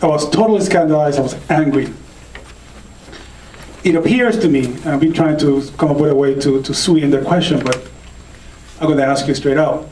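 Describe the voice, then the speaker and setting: A man's voice: on the high side, a little mellow. Guy from puerto rico asking from the audience, stumbly, far off in a roomy hall. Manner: halting, searching for words. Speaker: audience member asking a question. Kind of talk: audience question. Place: conference room. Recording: echoey room, distant mic, faint noise.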